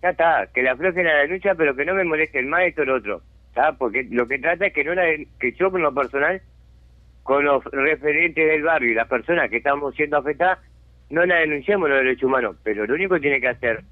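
A man's voice: fairly high pitch, dry recording. A Uruguayan man and settlement spokesman, talking insistently and indignantly in a radio phone interview, often asking if he is understood. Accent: Uruguayan Spanish. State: has a chronic lung disease. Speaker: settlement resident and community spokesman. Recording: dry tinny phone line.

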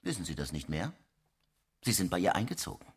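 A man playing a gruff, sceptical police investigator in a radio crime play, with a husky voice and a dry, blunt manner. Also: low-pitched, big pitch swings, fairly quick, heavily acted. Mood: probing, sardonic. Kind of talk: naturalistic radio drama dialogue.

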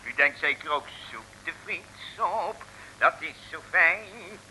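An actor playing a forlornly cheerful Dutch chip-stall owner in an old comic radio serial, chattering and muttering ingratiating asides. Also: male voice, a little high, expressive, takes it slowly, bright, forceful tone, heavily acted, low-quality recording. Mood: dazed, gushing. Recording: old radio recording with faint noise.

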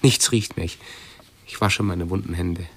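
An actor playing an anxious, self-absorbed hypochondriac nephew in a radio drama, speaking in a halting, pedantic way and correcting himself. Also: male voice, low voice, steady tone, a little hiss or hum.

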